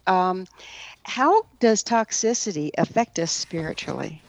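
A woman's voice: on the low side, lively intonation, a little slow. A woman speaking as the host of an interview show. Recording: muffled, dull lo-fi audio.

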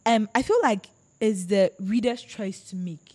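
A woman's voice: mid-range voice, a little slow, breathy edge. A woman from Ghana hosting a casual podcast chat about books, talking emphatically and animatedly, often overlapping her guests. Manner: animated, emphatic, talks over others. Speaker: podcast host.

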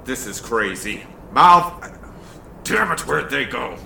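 A man's voice: medium pitch, sing-song, slow, gravelly, pushed and bright, reverberant, recorded from a distance, a little hiss or hum, heavily acted. An actor playing a blunt, righteous male archer vigilante. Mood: stern. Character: gruff, stern male archer vigilante hero.

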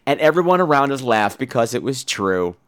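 A man's voice: medium pitch, fairly quick, clear, resonant tone. A man hosting a podcast in a casual monologue, telling stories in a theatrical, catty and blunt way. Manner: theatrical, catty, animated storytelling; profane, blunt.

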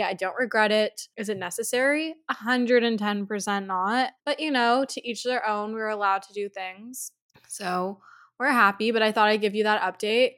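A woman's voice: medium pitch, a bit bright. A young woman in her twenties hosts a casual podcast. She talks in an upbeat, chatty way, laughs often, and has a head cold. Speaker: podcast host. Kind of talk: casual podcast chat and host-read ads.

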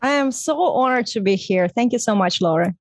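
A middle-aged woman from Estonia whose first language is Russian talks in a fairly high voice. She sounds close and dry, chatting as a marketing strategist being interviewed. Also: animated, fairly quick, no echo, faint music behind. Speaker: guest, online visibility strategist and coach. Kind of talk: casual conversational interview.

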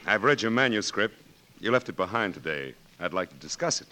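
An actor playing a formal, businesslike magazine editor in 1940s radio comedy style. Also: male voice, fairly low pitch, expressive, fairly quick, pushed and bright, low-quality recording. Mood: politely businesslike.

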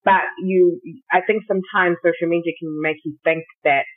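A woman's voice: fairly low pitch, fairly even intonation, normal speed. Giggly woman on a tinny phone-like line. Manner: giggly, playful, informal. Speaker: podcast guest. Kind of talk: casual chat between friends. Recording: tinny, phone-like call audio.

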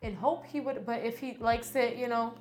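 Lively high-voiced woman chatting. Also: over music. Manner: animated, talks fast, acts out quotes. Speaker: guest actress. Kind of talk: casual podcast chat. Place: Miami.

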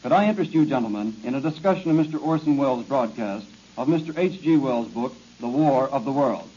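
A man announces in a formal, ceremonious 1940s radio style. His voice is husky and mellow. The recording is a muffled archive with little high end. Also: expressive, brisk.

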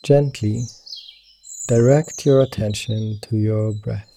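Male meditation guide, very mellow and dark with creaky low edges, gentle and soothing delivery. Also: steady tone, takes it slowly, slight background noise. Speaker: meditation teacher guiding yoga nidra.

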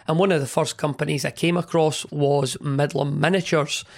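A man hosting a hobby podcast, chatting in a rambling, enthusiastic way with a hoarse, partly lost voice from a cold. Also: fairly high pitch, fairly even intonation, normal speed. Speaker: podcast host. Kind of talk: casual hobby podcast monologue.

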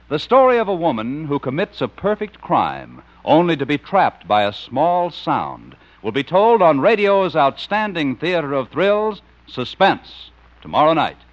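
High-pitched vintage male radio announcer. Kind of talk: scripted 1950s network radio announcing. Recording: muffled vintage radio broadcast, music behind.

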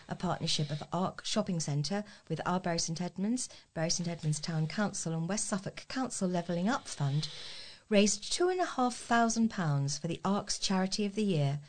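Female, British accent, lower-pitched voice, volunteer local newsreader, scripted read. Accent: British English. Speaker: volunteer reader of local news. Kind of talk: scripted news reading.

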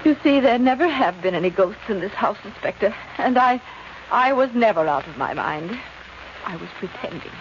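A woman's voice: mid-range voice, animated, normal speed, natural voice. An actress playing a genteel, scheming wealthy woman with a hesitant, flustered manner in 1940s radio drama style. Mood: flustered, confiding. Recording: muffled, faintly noisy old radio recording.

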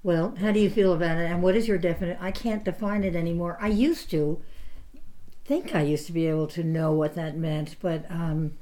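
A woman's voice: fairly low pitch, a little fast, dark tone. An older woman from New York with a slight New York accent, hosting a casual radio chat with a laughing, self-mocking manner. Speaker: host.

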